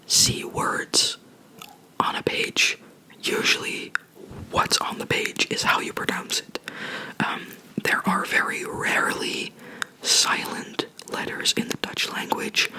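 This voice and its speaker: A person giving a whispered ASMR language lesson, explaining in a soft, rambling, chatty way close to the microphone. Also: poor audio. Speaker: language teacher.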